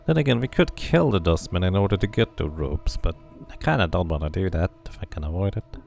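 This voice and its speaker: A man's voice: low voice, expressive, fairly quick, creaky, a little mellow, faint music behind. A man doing a casual game playthrough, musing to himself and to viewers and reading narration aloud.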